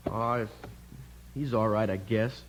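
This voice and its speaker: An actor playing a restless, defiant teenage cowboy with folksy frontier talk in a 1950s radio western. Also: male voice, fairly low pitch, steady tone, slow. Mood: sullen. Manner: folksy drawl-speak, headstrong. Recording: noisy vintage radio recording.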